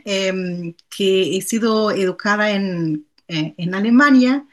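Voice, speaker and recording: Guest: native German speaker, woman, calm and steady, slightly high voice. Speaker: guest translator. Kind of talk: conversational interview.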